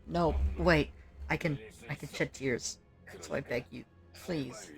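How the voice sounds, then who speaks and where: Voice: mid-range voice, slow pace, soft background music, pitched above the performer's own voice. A narrator voicing a tortured prisoner who weakly and desperately begs for mercy, as in a story game. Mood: desperate, pained. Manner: weak, desperate pleading. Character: pleading tortured prisoner begging for mercy, in pain.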